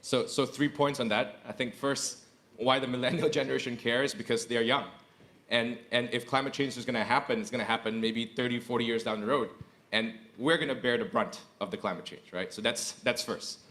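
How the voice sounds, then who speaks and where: A man's voice: mid-range voice, fairly even intonation, a little fast, a bit bright. A man, speaking earnestly as a corporate executive on a panel and repeating phrases for emphasis. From Indonesia. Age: 30s. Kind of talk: panel discussion.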